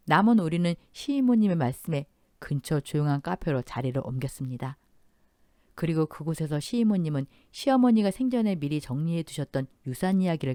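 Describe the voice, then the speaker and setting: A woman reading a scripted first-person story as narrator, in a measured, polite and sombre way. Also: deep voice, dark tone, dry recording, studio quality.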